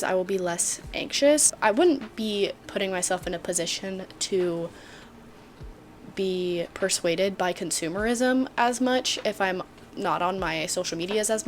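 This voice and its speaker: A woman's voice: mid-range voice, slight background noise. Bubbly young woman chatting to camera. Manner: bubbly, joking, filler-heavy. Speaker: vlogger. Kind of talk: casual chatty vlog.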